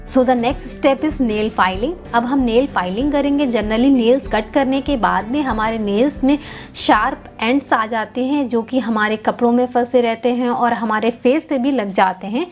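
Calm young woman teaching beauty steps. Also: on the high side. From India. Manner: calm instructive, mixing Hindi and English. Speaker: beauty channel host. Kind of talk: step-by-step beauty tutorial. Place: indoor home room.

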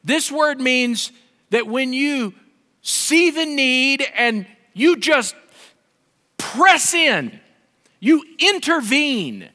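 A man, a pastor preaching an animated sermon on a large stage into a headset mic. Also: drawn-out words. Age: 50s. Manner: animated, gesturing preacher with wide swings in pitch and volume. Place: large dark stage with a pulpit. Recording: long hall reverb.

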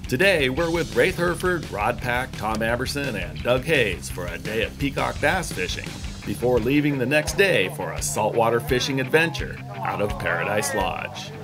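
A middle-aged man hosting a fishing show, reading a smooth, upbeat scripted voice-over. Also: mid-range voice, lively intonation, normal speed. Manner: smooth, upbeat presenter delivery. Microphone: studio voice-over. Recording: music bed under the voice.

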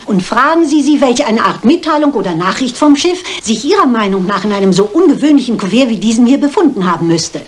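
An actress plays a shrewd elderly lady sleuth with a fairly high voice, bossy and brisk. She lays out her deductions with authority, in crime-film style over a noisy soundtrack. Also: animated, close to an everyday voice. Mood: deliberate, insistent. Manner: brisk, deliberate, reasoning aloud with authority.

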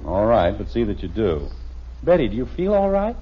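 A man's voice: lowish voice, big pitch swings, moderate pace. An actor playing an exasperated, wry, middle-aged suburban father in a 1950s radio sitcom. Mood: stern, wry. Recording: muffled, noisy vintage radio recording.

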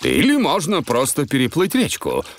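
A man's voice: fairly high pitch, huge pitch swings, normal speed, a little hiss or hum. A man voicing a jolly, hearty talking animal in a dubbed children's cartoon.

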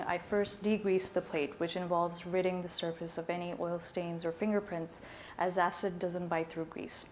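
A woman from Pakistan giving a measured, explanatory presentation of her artwork at a gallery talk. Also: lowish voice, a little hiss or hum. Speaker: artist presenting her work. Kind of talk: prepared presentation. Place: gallery talk space, indoors.

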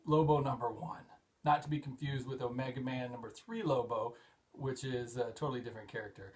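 A middle-aged man talks through his collection in an easygoing, rambling way. He trails off and restarts with plenty of "uh"s, and gets excited over each find. His voice sits in an ordinary middle pitch, picked up by a camera set a few feet back in a cluttered hobby room. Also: brisk, background noise. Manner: rambling and enthusiastic, frequent "uh" fillers. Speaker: comic collector and show host. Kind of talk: casual show-and-tell vlog.

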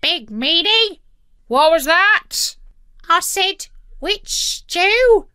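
A woman's voice: very high-pitched, expressive, drawn-out words, sharp tone, no echo, clean studio sound, voice pushed up, heavily acted. A woman voicing a cheeky, squawking pirate's parrot with a screechy voice in a children's story.

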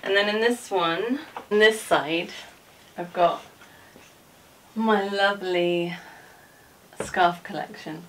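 A woman's voice: mid-range voice, slow pace, pauses often. A woman in her forties chatting casually and informally as a vlogger, with rambling asides as she moves about a room at home. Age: 40s. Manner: informal, rambling asides while moving about showing things. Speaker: vlogger giving a room tour. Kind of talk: casual chatty vlog talk. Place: indoors, small home dressing room. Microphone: camera a few feet away. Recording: distant camera mic, background noise and clicks.

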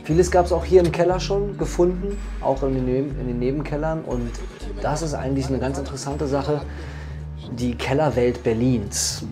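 A man's voice: medium pitch, normal speed. A man who runs an attraction, speaking casually in a documentary interview. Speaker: maker of an underground labyrinth attraction. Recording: music behind the voice. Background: background music.

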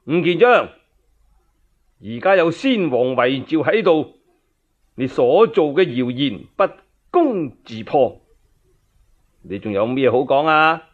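A man's voice: on the high side, sing-song, slow pace, pauses often, phone-quality sound, full character voice. A man voicing a crafty, tyrannical emperor in a pompous, indignant declaiming manner for a radio story. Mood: smug challenge.

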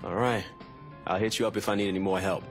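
A man's voice: deep voice, fairly even intonation, quick pace, soft background music, close to an everyday voice. A man voicing a blunt, profane gang leader in a video game cutscene.

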